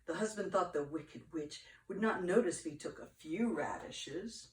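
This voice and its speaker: An older woman reads a storybook aloud expressively, with dramatic pauses and playful asides to children. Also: lowish voice. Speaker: grandmother reading to grandchildren. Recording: echoey room, distant mic.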